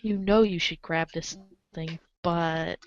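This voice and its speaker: A woman with a lowish voice reads a comic script aloud in a theatrical, emphatic way. Her delivery is playfully exasperated. The recording is very dry and slightly dull. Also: steady tone, takes it slowly, dry recording. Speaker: reader in a reader's theater show. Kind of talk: animated dramatic reading of a webcomic, in second person.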